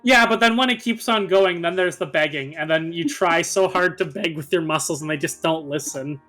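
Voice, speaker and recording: A man's voice: fairly high pitch, normal speed, pushed and bright, soft background music. A man chatting casually with friends as co-host of a gaming video, describing things with colorful exaggeration. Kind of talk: casual chat among friends over a game video.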